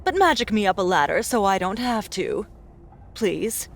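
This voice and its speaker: A woman's voice: medium pitch, big pitch swings, normal speed. An actress playing an impatient young woman adventurer in an audiobook, pushing to leave with offhand, pushy pleading. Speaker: voice actor. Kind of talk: audiobook voice acting.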